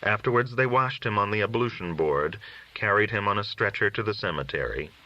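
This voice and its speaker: A man narrating a literary story in a measured, solemn reading style. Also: lowish voice, animated, muffled recording. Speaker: audiobook reader.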